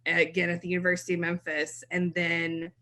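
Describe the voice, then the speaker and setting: A woman's voice: fairly low pitch, completely flat delivery, fairly quick, sharp tone. A woman in her thirties from South Mississippi, a blog writer talking as a podcast guest in a chatty way and laughing easily. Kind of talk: podcast interview.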